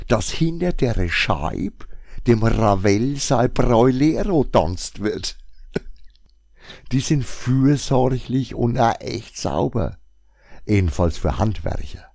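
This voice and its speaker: A man's voice: lowish voice, animated, takes it slowly, a little mellow, no room sound, clean studio sound. A man with a Franconian German accent chatting casually to his listeners in a rambling blog monologue, full of wry asides. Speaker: shop blogger.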